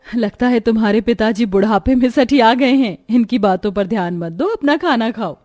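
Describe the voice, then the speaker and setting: A woman voicing a skeptical, plain-spoken middle-aged farmer's wife in an animated moral story, homely and matter-of-fact. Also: fairly high pitch, moderate pace, dry recording, studio quality, faint music behind, lightly acted. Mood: dismissive.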